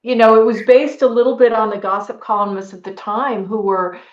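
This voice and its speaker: A woman actress being interviewed on a video call, talking casually in an emphatic, expressive way. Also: fairly high pitch, a little fast. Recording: roomy, slightly dull video-call audio.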